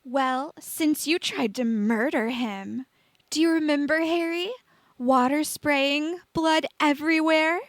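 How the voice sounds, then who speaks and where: A woman plays a teenage girl ghost from a storybook, high-voiced and full of self-pity. She is whiny, sulky and theatrical, turning every line into melodrama. The sound is close and dry. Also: slow, dry recording. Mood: sulky, gloating.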